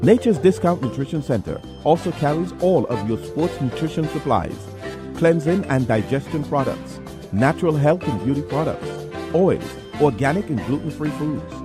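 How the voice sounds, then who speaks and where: A man's voice: a little high, animated, normal speed. A man reading a scripted commercial voice-over as an advertising announcer. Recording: voice-over over loud music bed. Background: background music.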